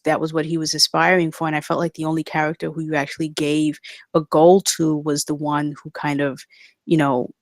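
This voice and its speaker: Casting: female table-read participant, low register, polite, tentative, informal delivery, very dry sound. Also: no room sound. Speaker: narrator in a script table read, giving feedback. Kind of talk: informal spoken feedback.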